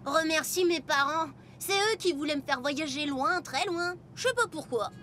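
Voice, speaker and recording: A woman's voice: high-pitched, animated, moderate pace. A woman voicing a cheeky, carefree young boy in a French cartoon, brash, casual and exuberant. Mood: cheeky, cheerful.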